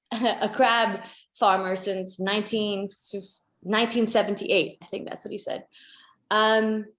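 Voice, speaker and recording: Woman in her thirties, from Tanzania, conservation photographer, chatty, roomy echo. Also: medium pitch. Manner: chatty, restarts often, laughs. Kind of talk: casual interview answers.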